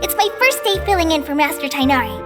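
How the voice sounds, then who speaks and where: A woman's voice: sits high, animated, fast talker, long reverb tail. A woman voicing a shy, modest young ranger who talks hesitantly and doubts herself, in a game cutscene. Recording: game audio under loud music.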